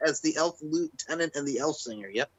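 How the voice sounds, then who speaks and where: A man's voice: fairly high pitch, brisk, no echo, muffled recording. An American man co-hosting a casual podcast, laid-back and given to swearing, reeling off film trivia. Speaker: podcast co-host.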